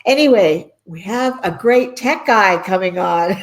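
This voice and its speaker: A woman hosts a live online interview over a video call. She talks slowly and warmly chatty, laughing easily and poking fun at herself, with a middle-pitched voice. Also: animated. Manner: chatty, self-deprecating, laughs often. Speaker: host. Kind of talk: casual live-stream interview.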